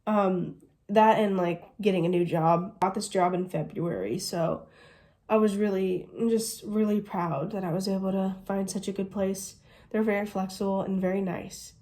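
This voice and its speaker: A woman's voice: mid-range voice, steady tone, moderate pace, mellow. A woman in her twenties from the United States, chatting casually through a Q&A vlog and gushing as she goes. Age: 20s. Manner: chatty, gushing, says "literally" often. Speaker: vlogger.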